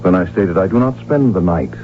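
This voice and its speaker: A man's voice: deep voice, expressive, fairly quick, a little hiss or hum. A man playing a proud, ambitious, defiant archduke and devoted husband in a radio drama, speaking with grandiose, theatrical emphasis. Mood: indignant pride. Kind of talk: 1970s radio drama acting. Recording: muffled, humming old radio broadcast recording.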